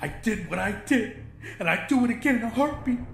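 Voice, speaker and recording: Man playing a desperate young woman, pitched way up. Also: expressive, a little fast, vocal fry, roomy sound, a little hiss or hum, full character voice. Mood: defiant outburst. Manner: pleading, profane, intense. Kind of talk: dramatic horror audiobook dialogue.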